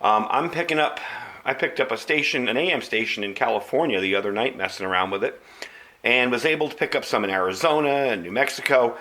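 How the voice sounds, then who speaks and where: A man with a bright, forceful voice at a middle pitch presents a product to his viewers. He speaks casually and conversationally, explaining things plainly as he goes. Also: fast talker. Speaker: video channel host. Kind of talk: casual product review to camera.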